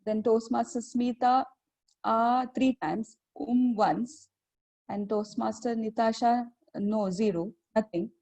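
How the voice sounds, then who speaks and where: Slow, halting woman on a call. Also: fairly high pitch, steady tone, a little mellow. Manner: halting, many ums and uhs, reading out counts. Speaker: filler-word counter at a speaking club meeting. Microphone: video call.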